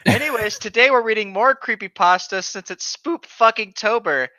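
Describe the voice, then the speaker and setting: A man's voice: high voice, normal speed, pushed and bright, no echo. A man co-hosting a comedy show, chatting casually and mockingly reading stories with sardonic, sweary jokes, on a lo-fi microphone. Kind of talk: casual banter and mocking read-aloud of internet horror stories. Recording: dull, lo-fi microphone sound.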